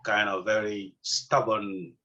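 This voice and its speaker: Low-voiced Senegalese man, hesitant. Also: little pitch movement, slow, sharp tone, dull audio. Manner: hesitant, many fillers and restarts. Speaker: interview guest. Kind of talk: casual interview.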